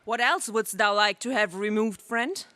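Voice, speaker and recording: A woman's voice: medium pitch, moderate pace, pushed and bright, dry recording, close to an everyday voice. A woman playing a loyal alien warrior with archaic, declamatory speech in a 1930s-style space radio serial. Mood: stately.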